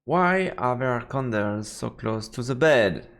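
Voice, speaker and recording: A man's voice: mid-range voice, lively intonation, slow, fairly bright tone. A man live-streaming a game, reading its text aloud and commenting informally, stumbling a little over the words. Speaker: let's play streamer. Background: game music.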